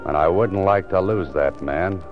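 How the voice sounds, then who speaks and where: A man's voice: low voice, normal speed, lightly acted. Man to play American noir sleuth, bottom-register voice, terse and self-questioning; old muffled radio drama sound. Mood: brooding. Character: hard-boiled male author-detective, brooding, determined, narrating his case. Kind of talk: 1940s radio acting, noir narration. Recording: muffled 1940s radio recording, music behind.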